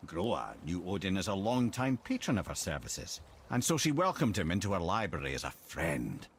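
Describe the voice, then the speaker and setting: An actor playing a wise, witty old storyteller in a video game, eloquent and dramatic. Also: male voice, sits low, sing-song, normal speed, slight background noise. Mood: solemn storytelling. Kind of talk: cinematic video game dialogue.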